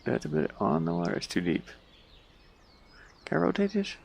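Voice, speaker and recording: A man's voice: on the low side, huge pitch swings, fast talker, gravelly, a little mellow, slight background noise. A man giving casual gameplay commentary, puzzled and muttering to himself as he thinks aloud. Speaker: gamer playing and commenting on a game.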